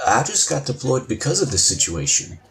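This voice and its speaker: A man playing a nervous, inexperienced deputy in an audio drama, speaking in a hesitant, halting way. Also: mid-range voice, sing-song, vocal fry, fairly bright tone. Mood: unsure. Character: nervous, green male deputy.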